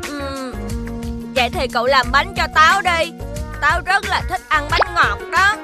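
A woman voicing a small, wise green magical creature in a children's cartoon, gentle and full of advice. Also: high voice, unhurried, music in the background. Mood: helpful. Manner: gentle, advising. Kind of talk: cartoon voice acting.

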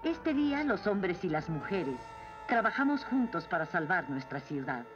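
A woman's voice: on the low side, very animated, moderate pace, muffled recording. A woman voicing a proud, commanding queen in a Latin American Spanish cartoon dub, stately and formal. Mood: solemn, proud. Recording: music behind the voice.

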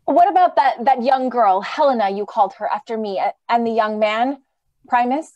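Idealistic, worried lady, female actor, higher register, earnest theatrical radio acting. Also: moderate pace, warm tone, highs cut off, lightly acted. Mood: concerned. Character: idealistic, anxious, gentle lady who loves humanity. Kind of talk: old-time radio drama acting. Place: remote video call.

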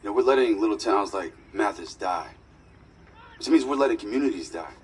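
Low-voiced sincere young quarterback, acted by a man. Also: animated, a little fast, distant mic, slight background noise, lightly acted. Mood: earnest. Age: young adult. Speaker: quarterback character in a story mode. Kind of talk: naturalistic dramatic game acting.

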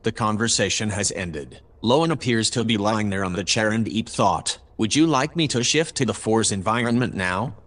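An actor playing a polite, precise AI observer in an audio drama, speaking in a formal, measured way. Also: male voice, fairly low pitch, a bit bright, lightly acted. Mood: calm, formal. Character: polite, precise male AI observer aboard a spaceship.

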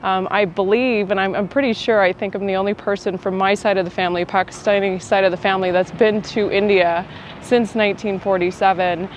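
A woman's voice: medium pitch, steady tone, a little fast. A young woman from Colorado, a family lawyer, speaking earnestly outdoors on a lapel mic about her writing. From Aurora, Colorado. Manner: earnest, personal, with um fillers. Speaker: family law attorney. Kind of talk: prepared award acceptance remarks. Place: outdoors in an urban plaza. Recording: dull outdoor recording with street hum.

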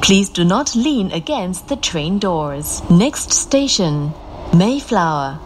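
A woman making scripted train announcements in a formal, clearly enunciated, measured manner. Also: lowish voice, very animated, slight background noise. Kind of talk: scripted recorded transit announcements. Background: loud moving train ambience.

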